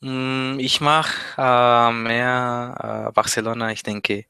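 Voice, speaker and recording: Teenage guy, slow, flat. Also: mid-range voice, gravelly, slight background noise. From Mexico. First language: Spanish. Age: teens. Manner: hesitant, many pauses and fillers. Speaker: interview guest, language learner. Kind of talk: casual interview chat.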